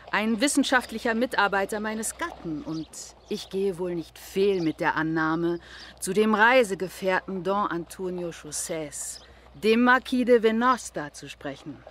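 A woman playing a dignified middle-aged upper-class mother, formal and gently admonishing, in a radio play. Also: medium pitch, expressive, measured pace. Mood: stately, courteous.

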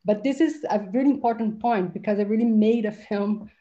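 A woman's voice: mid-range voice, dark tone. Earnest woman director on a video call. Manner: earnest, halting, restarts phrases. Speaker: film director. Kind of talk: online panel discussion. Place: home video call, virtual library background. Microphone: webcam mic on video call. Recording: slightly dull video call audio.

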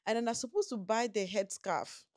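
A woman's voice: a little high, brisk, darkish tone, no room sound. Chatty woman vlogger, dry close sound. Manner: chatty, repeats words and restarts, quotes people in bursts. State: tired after no sleep. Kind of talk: casual vlog recap.

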